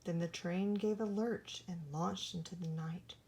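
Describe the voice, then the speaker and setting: A woman reading a rhyming children's story aloud in an expressive, theatrical storytelling manner. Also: on the low side, unhurried. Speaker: storybook reader.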